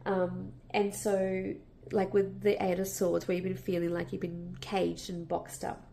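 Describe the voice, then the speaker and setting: A woman with a cold, a bit nasal and croaky, giving a casual, reassuring tarot reading full of fillers and restarts. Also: lowish voice, monotone, unhurried, warm tone, a little hiss or hum. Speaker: tarot reader.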